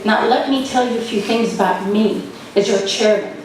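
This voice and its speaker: A woman's voice: a little high, normal speed. A woman from Afghanistan chairing a political convention, firm and insistent as she keeps order and gives a speech. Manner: firm, repeats thank-yous to restore order. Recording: echoey hall, distant mic, background noise.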